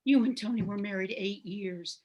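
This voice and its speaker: A woman's voice: mid-range voice, moderate pace, mellow, natural voice. An older woman playing a supportive, protective neighbor friend in an old-time radio soap. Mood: reassuring.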